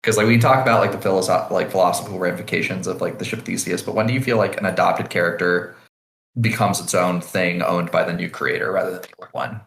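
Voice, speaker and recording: A man's voice: low voice, steady tone, fast talker, gravelly, fairly bright tone. A man co-hosting a podcast, reading listener questions in laid-back casual chat with dry quips. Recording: echoey room.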